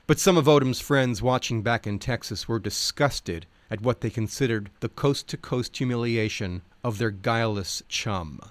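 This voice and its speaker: A man narrates a written nonfiction piece in a medium-pitched voice. His delivery is articulate and wry, relishing wordy sentences. The recording is dry, free of room echo. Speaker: narrator reading from a nonfiction book. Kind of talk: scripted narration, audiobook-style reading.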